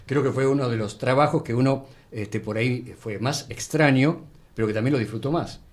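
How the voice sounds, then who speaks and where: A man with an Argentine accent chatting casually as a guest, telling anecdotes in a halting, digressive way. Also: mid-range voice. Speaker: interview guest.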